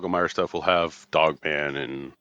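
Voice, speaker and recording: A man's voice: rumbling low, fairly even intonation, moderate pace, sharp tone, clear, resonant tone, no room sound, highs cut off. An American man co-hosting a podcast, chipping in with casual banter. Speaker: podcast co-host.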